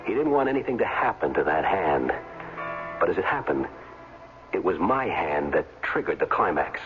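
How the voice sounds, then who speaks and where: Low-voiced, tough radio hero. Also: male voice, very animated, moderate pace, over music. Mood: tense, determined. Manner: plain-spoken, hard-boiled. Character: defiant retired football player, tough everyman narrator. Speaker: first-person narrator and lead. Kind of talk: 1970s radio drama acting. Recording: very muffled 1970s radio recording.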